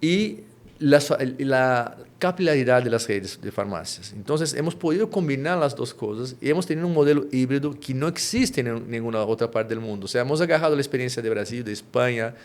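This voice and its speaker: A middle-aged man from Brazil with a Lima Spanish accent and Portuguese roots, explaining his company's work as an interview guest, often tagging his points with a quick question and restarting phrases. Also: mid-range voice, expressive. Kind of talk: conversational interview.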